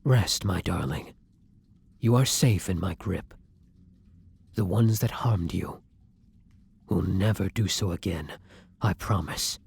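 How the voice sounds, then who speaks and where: A man playing a kind, tormented vampire in an intimate roleplay audio drama, murmuring softly and breathily in formal, old-fashioned phrasing. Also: on the low side, expressive, measured pace, pauses often, slight background noise. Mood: soothing, solemn. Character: kind, tormented male vampire, tender and protective lover.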